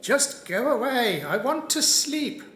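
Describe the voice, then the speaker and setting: A woman's voice: a little high, very animated, slow pace, a bit bright, roomy sound, exaggerated voice. A woman playing a wary, reclusive attic dweller in an audio drama, speaking curtly. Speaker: amateur actor. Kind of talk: community audio drama acting.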